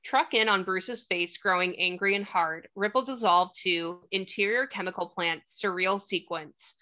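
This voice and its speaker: Bright-voiced woman narrating a screenplay. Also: medium pitch, moderate pace. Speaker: stage directions narrator. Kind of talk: scripted narration, screenplay table read. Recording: slightly dull lo-fi audio.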